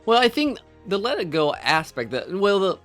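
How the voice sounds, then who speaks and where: A man's voice: high-pitched, very animated, normal speed, fairly bright tone, faint music behind. A man co-hosting a podcast in casual, conversational banter. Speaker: podcast co-host.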